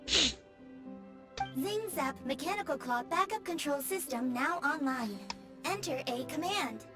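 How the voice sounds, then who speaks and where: A woman's voice: high-pitched, lively intonation, takes it slowly, music in the background. A woman voicing the formal automated system of a mechanical claw in a video game, clipped and impersonal. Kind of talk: scripted game system announcement.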